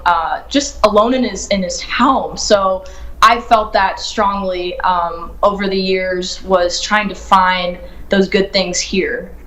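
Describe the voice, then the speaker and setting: Young woman from Ohio, book author, sincere and upbeat, middle pitch, roomy echo. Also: a bit bright. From United States, grew up in Ohio. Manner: earnest and upbeat, thinks aloud. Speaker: children's book author, guest. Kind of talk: remote interview answers. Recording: echoey room.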